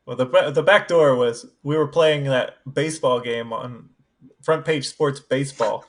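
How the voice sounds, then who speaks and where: A man's voice: mid-range voice, measured pace. A man co-hosting a podcast, chatting casually and anecdotally. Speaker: podcast co-host.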